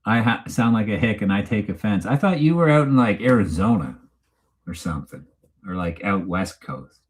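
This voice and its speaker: Canadian guy with a lowish voice just hanging out on a livestream, rambly and informal. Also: lively intonation, normal speed. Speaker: livestream host. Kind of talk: casual unscripted chat, reading live comments.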